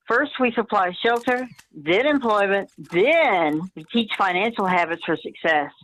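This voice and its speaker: A woman playing an upbeat, polished city mayor in a radio play, speaking in measured political speeches. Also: mid-range voice, a bit bright, low-quality recording. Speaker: amateur actor in a community radio play.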